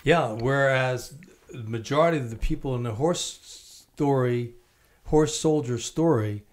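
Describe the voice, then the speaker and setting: A man's voice: medium pitch, unhurried. An older man hosting a podcast interview in a small studio, guiding the guest with questions and short affirmations, close on a standing mic. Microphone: studio mic on stand, headphones.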